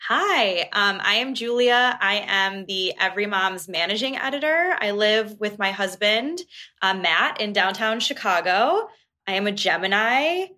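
A woman's voice: mid-range voice, expressive, unhurried, sharp tone. A young adult woman guest chatting casually, self-deprecating and laughing. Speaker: managing editor, podcast guest. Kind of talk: casual chat.

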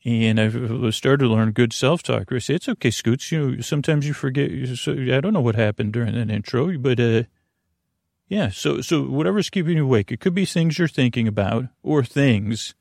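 Creaky-voiced man rambling soothingly. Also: mid-range voice, no room sound, studio quality. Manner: meandering, halting, self-interrupting with tangents; gentle, lulling, reassuring. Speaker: podcast host. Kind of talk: rambling, soothing bedtime monologue.

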